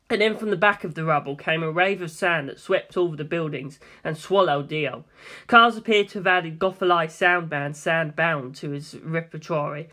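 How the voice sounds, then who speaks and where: Bright, high-voiced man narrating a story. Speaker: audiobook narrator. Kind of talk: scripted first-person story narration.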